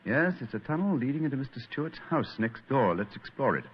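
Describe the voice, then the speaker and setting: An actor playing a confident, slightly superior English gentleman detective in a radio mystery, precise and lecturing, with a British accent. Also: mid-range voice, big pitch swings, fast talker, close to an everyday voice. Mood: assured, intrigued. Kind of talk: old-time radio mystery acting. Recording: dull, faintly noisy old radio recording.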